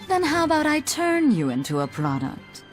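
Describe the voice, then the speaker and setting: A woman's voice: high-pitched, huge pitch swings, normal speed. A woman voicing a sly, savvy sorceress in a video game, dry and languidly teasing. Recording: game audio with music behind.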